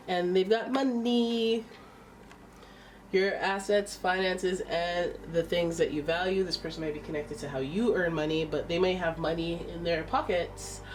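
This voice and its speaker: A woman's voice: on the low side, recorded from a distance, noisy background. A woman with a stuffy nose giving a casual, chatty tarot reading, exclaiming and thinking aloud as she turns the cards. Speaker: tarot reader.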